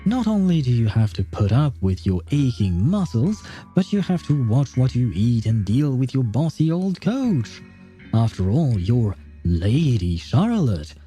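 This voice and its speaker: A man reading as a mocking, sarcastic, mellow-voiced coach. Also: medium pitch. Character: scornful older coach, sarcastic and cutting.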